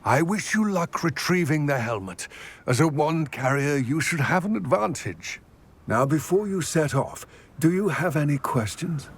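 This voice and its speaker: A man voicing a solemn, measured male goblin ally in a video game, speaking in a formal, grave and deliberate way with a husky voice. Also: on the high side, expressive, slight background noise.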